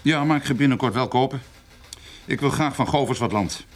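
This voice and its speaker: An actor playing a self-assured village notary in a Dutch radio play, explaining things in a measured way. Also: male voice, medium pitch, close to an everyday voice. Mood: assured, matter-of-fact.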